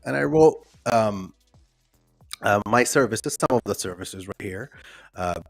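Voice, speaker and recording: A man's voice: on the low side, lively intonation, unhurried, vocal fry, no room sound, studio quality, faint music behind. A man whose first language is not English presents a live online workshop in a loose, conversational way, with many ums and restarts, and reads out viewer comments.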